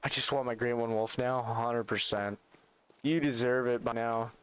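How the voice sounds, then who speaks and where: A man's voice: mid-range voice, fairly even intonation, moderate pace, a bit bright, smooth, rough recording. A man, chatting casually with his livestream chat while playing a hunting game. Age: 20s. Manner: laid-back, chatty, reads and answers chat. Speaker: streamer.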